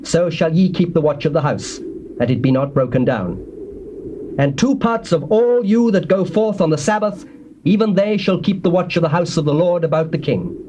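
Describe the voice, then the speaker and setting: A male actor plays a solemn, authoritative priest with a high male voice and mellow tone. He instructs deliberately, in declamatory Bible-drama style. Faint noise from an old cassette sits behind him. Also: expressive, close to an everyday voice. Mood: solemn command. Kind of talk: dramatized scripture reading.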